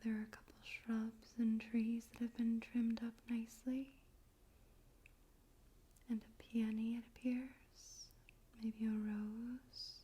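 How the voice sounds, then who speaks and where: A woman speaking in a soft, breathy whisper, gently and carefully, in an ASMR style for poetry reading. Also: on the high side, level tone, takes it slowly, low-quality recording. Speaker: ASMR host and poetry reader. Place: room in a home in daytime.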